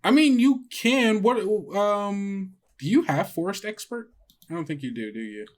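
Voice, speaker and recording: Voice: medium pitch, lively intonation, unhurried. A game master in casual tabletop game chat, thinking aloud in a hesitant way.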